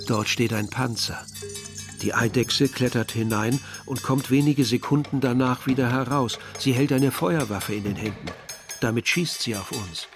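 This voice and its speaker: An actor playing a young villager who tells his story in a measured, literary way, as narration in a radio drama. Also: mid-range voice, natural voice. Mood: dreamlike, troubled. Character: young Algerian villager narrating a grim search for remains. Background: music.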